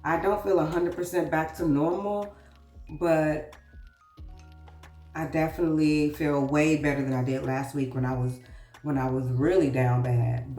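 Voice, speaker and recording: A woman's voice: sits low, warm tone, roomy sound, recorded from a distance. A woman vlogger chatting casually while getting ready, sounding congested from a recent cold, calling the viewer "girl.". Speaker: vlogger and author. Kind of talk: casual get-ready-with-me chat.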